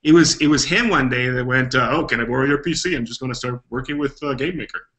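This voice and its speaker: A man's voice: mid-range voice, fast talker, fairly bright tone. A man from Bolivia, a game developer guest, telling stories in a rambling casual way with many restarts. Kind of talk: casual interview chat.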